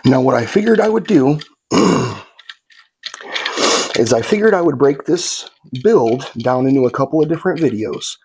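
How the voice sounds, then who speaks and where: A man's voice: medium pitch, lively intonation, moderate pace, darkish tone, slight background noise. A man narrating a how-to build in a laid-back, folksy way while he works, sniffly from a slight cold. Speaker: guitar kit builder.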